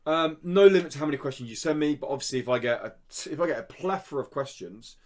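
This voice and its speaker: A man's voice: on the high side, fast talker. British man hosting a casual podcast. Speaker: podcast host.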